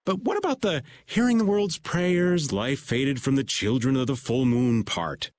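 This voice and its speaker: A man's voice: medium pitch, expressive, unhurried, a little hiss or hum, natural voice. A man playing a skeptical, folksy older adventurer in a video game, speaking colloquially. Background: background music.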